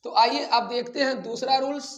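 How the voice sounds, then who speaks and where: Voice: sits high, steady tone, normal speed. An Indian teacher giving an instructional English grammar lesson, didactically asking questions and then answering them. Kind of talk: instructional lecture mixing Hindi and English terms. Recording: echoey room.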